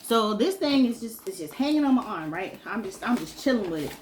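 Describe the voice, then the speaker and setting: A sick, congested young woman from Georgia chatting casually in a vlog, telling a story in an animated way and acting out what people said. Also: mid-range voice, a little fast, slight background noise. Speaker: vlogger.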